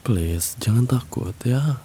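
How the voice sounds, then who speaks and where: A man voicing an obsessive young man who secretly haunts a listener's bedroom, speaking softly in a hushed, cooing way with low chuckles in an ASMR roleplay. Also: animated, takes it slowly, slight background noise. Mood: soothing menace.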